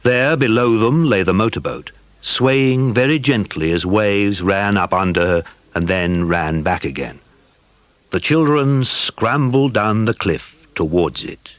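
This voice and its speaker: Man narrating with lively, lowish voice. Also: measured pace, no echo. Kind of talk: scripted audiobook story narration.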